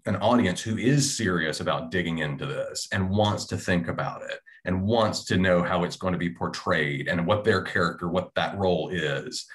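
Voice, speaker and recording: A man talking casually and thoughtfully as a panelist on a video call. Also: low voice. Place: small indoor room at home.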